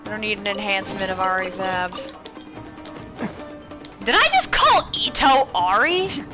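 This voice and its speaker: A woman streamer gives casual, playful commentary as she plays. Her pitch is middling, she likes repeating little phrases, and game music runs clearly underneath. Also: sing-song, a little slow. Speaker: gaming streamer.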